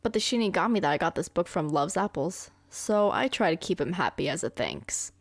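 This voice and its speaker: A woman's voice: lowish voice, very animated, fairly quick, dry recording. A woman playing a smug, brilliant, manipulative young serial killer girlfriend in an anime roleplay, boastful and coaxing. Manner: boastful, coaxing, self-satisfied.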